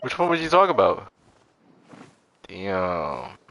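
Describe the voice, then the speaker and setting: A man with a lowish, crackly voice chats casually while live-streaming a game. His pitch swings wildly and his pace is very slow. He talks in playful, profane slang. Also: huge pitch swings, fairly bright tone. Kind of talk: casual livestream chat over gameplay.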